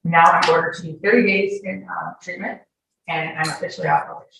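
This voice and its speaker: A woman's voice: on the low side, steady tone, a little fast, creaky. A woman giving informal, wordy, insistent answers as a witness under questioning in court. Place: echoey courtroom, far from the mic. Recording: echoey, distant courtroom audio with faint noise.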